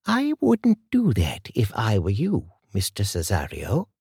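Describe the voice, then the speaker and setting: A man voicing a calm, commanding older woman who speaks with measured politeness. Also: rumbling low, creaky, slightly rough, no room sound, clean studio sound, full character voice. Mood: calm warning.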